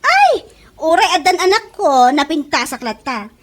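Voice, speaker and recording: A woman acting a piercingly high, sassy mother. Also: sing-song, a little slow, exaggerated voice. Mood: boastful, taunting. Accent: Ilocano. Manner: sassy, boastful, exclaiming. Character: Leia, vain sharp-tongued young mother. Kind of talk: Ilocano comedy radio drama acting. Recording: noisy home-made radio drama recording.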